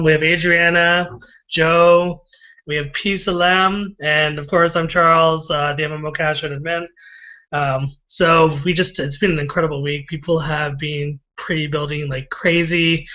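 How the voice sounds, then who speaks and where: A man's voice: on the high side, sharp tone. A man hosting a promotional live video call, praising guests with enthusiastic, hype-filled talk. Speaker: host and admin of an online business call. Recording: dull lo-fi video call audio.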